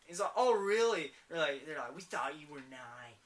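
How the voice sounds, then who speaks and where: A man's voice: fairly high pitch, sing-song, normal speed, distant mic, slight background noise. A young man in his late teens, co-hosting a podcast and telling a rambling story while quoting people. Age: young adult. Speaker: podcast co-host. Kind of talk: casual chat, storytelling.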